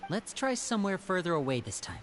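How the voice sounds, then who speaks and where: Lively, composed young leader. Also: male voice, sits high, a little hiss or hum. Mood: resolute. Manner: measured, articulate, diplomatic. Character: composed, earnest young magician, loyal leader of siblings. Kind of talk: video game voice acting.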